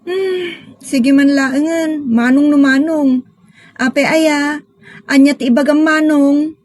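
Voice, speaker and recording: A woman acting an eager, outspoken young village woman in a radio drama, expressive and bantering, with a Filipino accent. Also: sits high, slow pace, no room sound. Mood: teasing.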